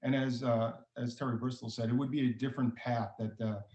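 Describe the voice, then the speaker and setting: A man's voice: mid-range voice, steady tone, fairly quick, dark tone. A man giving an explanatory answer as a witness at a formal hearing. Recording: echoey, dull lo-fi room audio.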